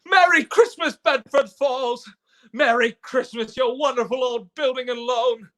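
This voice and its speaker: A man's voice: very high-pitched, a little slow, a bit bright. A man playing an emotional, stammering family man in a live radio play, speaking with breathless urgency. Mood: overjoyed, giddy. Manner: stammering, impassioned, breathless pleading. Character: frantic, despairing then overjoyed family man.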